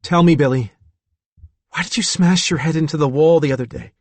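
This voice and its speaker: A man voicing a woman doctor in a storybook reading, gentle but firm, reassuring and measured. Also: on the high side, no room sound, pitched above the performer's own voice.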